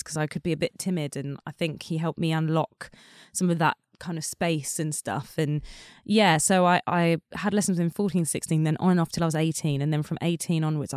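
A woman's voice: sits low, a little mellow, clear, resonant tone, dry recording. Chatty woman, dry close sound. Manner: chatty, many fillers like "like" and "um". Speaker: guest singer. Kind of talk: casual interview chat.

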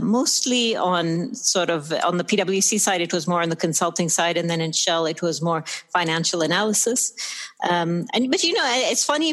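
Emirati woman guest on an interview, kinda low voice, conversational, explains things thoughtfully. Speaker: interview guest, business executive.

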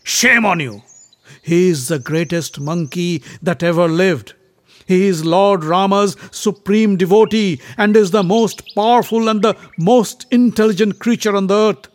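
A man voicing a mighty, hot-tempered warrior prince in a storybook myth, declaiming loudly and indignantly. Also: mid-range voice, steady tone, normal speed, hardly any pauses, no echo, lightly acted. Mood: indignant, boastful.

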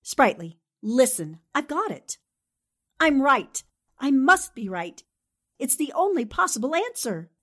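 A woman playing a brisk, determined newspaperwoman sleuth in a 1940s-style radio drama, with a commanding manner. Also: sits high, big pitch swings, fairly bright tone, full character voice. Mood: excited. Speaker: actor in an amateur radio drama re-creation.

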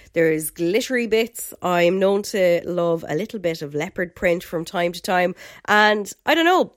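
An Irish woman hosting a podcast in a chatty, enthusiastic way, her voice a little croaky from a head cold. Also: medium pitch, dry recording, clean studio sound. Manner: chatty and enthusiastic, with filler words. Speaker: podcast host. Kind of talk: casual podcast chat.

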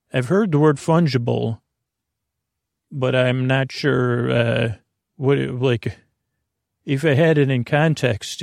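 A man hosting a bedtime podcast, rambling slowly in creaky, soothing tones, wandering off on tangents and restarting his sentences. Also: medium pitch, darkish tone, dry recording, studio quality. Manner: meandering, halting, full of tangents and restarts. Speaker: podcast host. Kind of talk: rambling sleepy-time podcast talk.

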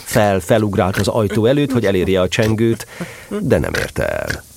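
A man narrating a scripted children's story with expressive, theatrical storytelling delivery. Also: lowish voice, vocal fry, slight background noise.

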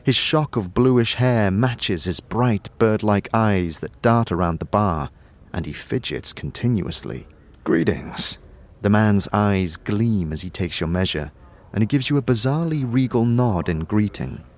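Male narrator, lowish pitch, scripted fantasy storytelling, second-person audio drama. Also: lively intonation, measured pace.

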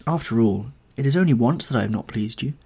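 A man's voice: medium pitch, expressive, fast, gravelly, a little mellow, slightly rough. A man voicing a heartbroken young actress who begs her lover not to leave, in a pleading, breathless way.

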